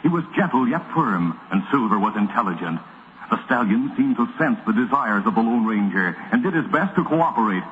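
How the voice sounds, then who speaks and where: A man's voice: on the low side, expressive, brisk, a little hiss or hum. An American man narrating an old radio western in a dramatic, solemn 1940s storytelling style. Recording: muffled vintage radio recording.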